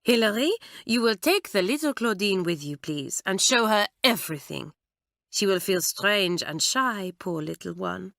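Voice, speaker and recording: A woman voicing an excitable middle-aged schoolmistress, effusive and shrill. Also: a little slow, creaky, dry recording, clean studio sound. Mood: fussing.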